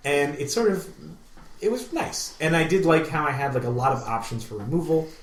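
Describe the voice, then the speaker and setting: A man's voice: on the high side, a little fast. A man co-hosting a podcast, chatting casually in an enthusiastic, rambling way. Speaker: podcast co-host. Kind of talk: casual chat. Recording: roomy, echoey room sound.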